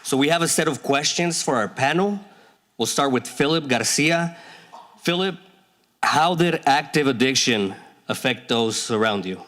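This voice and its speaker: A man moderating a panel, introducing speakers and asking questions in a brisk, plain way. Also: mid-range voice, expressive, fairly bright tone. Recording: noisy clicks in the background.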